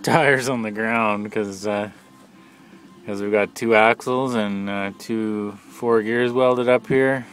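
A man talking casually off the cuff as an amateur mechanic, in a plain-spoken, halting, matter-of-fact way while filming with a handheld camera. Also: lowish voice. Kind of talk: casual off-the-cuff how-to narration. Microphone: handheld camera, speaker behind it.

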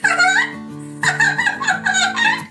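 A woman voicing a small, sweet, excitable childlike monster in a squeaky falsetto for a storybook. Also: very slow, heavily acted. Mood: happy, excited. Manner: refers to self in third person, childlike. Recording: roomy, distant mic, faint music.

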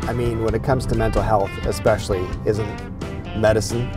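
A man's voice: lowish voice, little pitch movement, normal speed, music in the background. A middle-aged man hosting a comic interview, asking playful, joking questions while driving a car. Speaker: host. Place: inside a moving car, driving.

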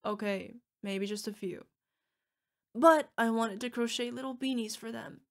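A woman acts out a young orc girlfriend in an audio roleplay. Her voice is fairly high, and she is gushing, needy and playful. She is fierce yet cutesy, speaking intimately to a partner. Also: unhurried. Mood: playful, defensive. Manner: gushing, emotional, playful, talking to an unheard partner. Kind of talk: ASMR girlfriend roleplay acting.

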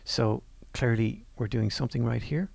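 A male lecturer talks through his slides in an easy, conversational way, pausing on fillers and restarting sentences. His voice is heavily gravelly with a lot of fry. It was recorded very dry and close, with no room sound. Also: medium pitch, animated, a little mellow, dry recording. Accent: non-Northern Irish. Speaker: university course lecturer. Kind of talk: presenting over slides.

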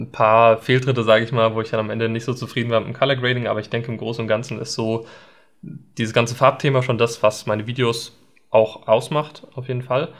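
A young man talking as a podcast guest, thoughtful and hesitant, with many filler ums. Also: medium pitch. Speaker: guest, videographer. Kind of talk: casual interview chat. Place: indoor studio set at a desk.

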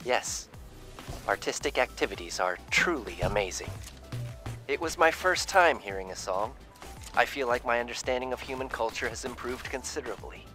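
An actor playing a polite, curious data-collecting robot in a video game, speaking in a formal, precise way. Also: male voice, medium pitch, animated, normal speed, music in the background, natural voice. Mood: polite, earnest. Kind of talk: video game cutscene dialogue.

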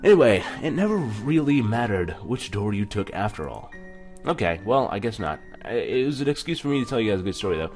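A man's voice: on the low side, very animated, fairly quick, a little mellow, faint music behind. A man hosting a gaming video in a casual, chatty, self-deprecating way, reading text aloud with stumbles. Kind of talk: casual let's-play commentary with reading aloud.

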